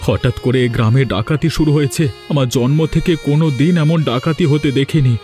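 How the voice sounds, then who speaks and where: A man's voice: fairly high pitch, quick pace, mellow, lightly acted. A man voicing a devoted, worried village father in a melodramatic cartoon, earnest and reassuring. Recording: music behind the voice.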